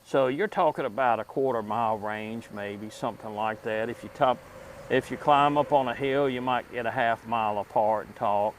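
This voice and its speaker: A middle-aged man giving a casual show-and-tell to camera in a halting, deliberate way. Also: medium pitch, poor audio. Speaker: host of a vintage gadget video series. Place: outdoors at a table in a wooded yard. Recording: outdoor ambient noise behind voice.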